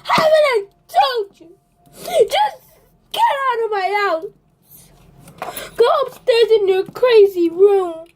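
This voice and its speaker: A woman's voice: extremely high pitch, animated, takes it slowly. A young girl with a childlike voice, play-acting an improvised puppet show in a theatrical, whiny way.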